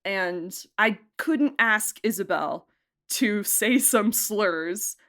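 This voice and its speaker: A woman producer and director chatting casually in an interview, talking in an informal, emphatic and animated way. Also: mid-range voice, drawn-out words.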